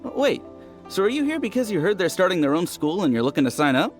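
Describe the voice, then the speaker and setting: A chatty villager from a video game. He is eager and easily impressed, his fairly high voice moving with lots of animation over faint music. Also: sing-song, quick pace. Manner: eager storytelling. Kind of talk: English video game voice acting.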